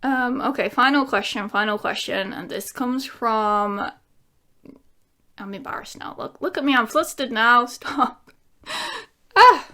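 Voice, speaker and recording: A woman's voice: a little high, expressive, unhurried, pauses often, pushed and bright. A woman in her thirties from England, chatting casually to camera as she answers viewer questions, giggling often and rambling with lots of fillers. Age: 30s. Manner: breaks into bits of song.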